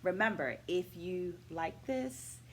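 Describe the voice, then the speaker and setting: A middle-aged woman talking through a book for her viewers in a measured, deliberate, instructive way. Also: lowish voice, animated, a bit bright, slight background noise. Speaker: book-review vlog host, dance educator. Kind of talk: educational book discussion to camera.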